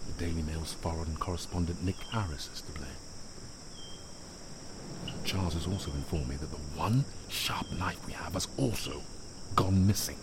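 An actor playing a weary, frightened stranded man who keeps his composure, halting and stammering through a radio log in an audio drama. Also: rumbling low, background noise. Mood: grim, wistful. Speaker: narrator-survivor sending radio log.

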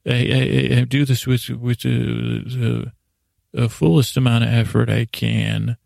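A man hosting a sleep podcast, rambling in a halting, self-interrupting way with silly, self-deprecating asides that trail off mid-thought. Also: medium pitch, level tone, vocal fry, a little mellow, no echo, clean studio sound. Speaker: podcast host.

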